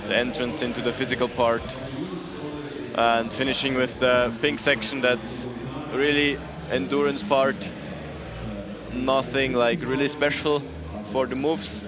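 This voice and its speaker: A man's voice: mid-range voice, little pitch movement, measured pace, a crowd in the background. A man commentating casually at a sports event in an informal, upbeat manner with non-native English phrasing. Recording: music playing behind the voice.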